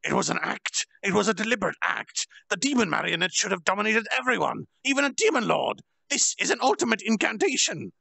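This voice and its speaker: A scheming male demon lord, caught out, blurts in shrill disbelief, as a man puts him on. His voice jumps high and loud with a gravelly grain. It is a storybook reading. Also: sing-song, a little fast, hardly any pauses, no echo, full character voice. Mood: panicked.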